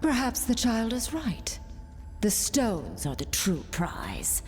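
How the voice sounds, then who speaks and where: A woman's voice: fairly high pitch, wildly swooping pitch, takes it slowly, darkish tone, soft background music, exaggerated voice. A woman playing a cruel alien warrior villain in a video game, menacing and disdainful. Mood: cold contempt.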